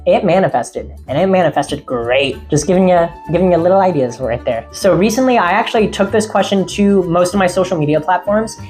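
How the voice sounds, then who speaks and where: Woman, low voice, slightly raspy, casual friendly rambling, faint music underneath. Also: animated, brisk, hardly any pauses, darkish tone. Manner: rambling, friendly, laughs often. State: voice getting a little raspy. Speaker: witchcraft vlogger. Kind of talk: casual chatty vlog.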